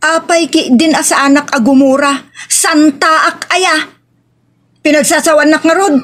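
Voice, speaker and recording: A woman's voice: high-pitched, steady tone, measured pace, a bit bright. A woman with an Ilocos accent playing an angry wife in a heated household quarrel in a drama. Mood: resentful. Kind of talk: Ilocano audio drama acting. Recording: music under the voice.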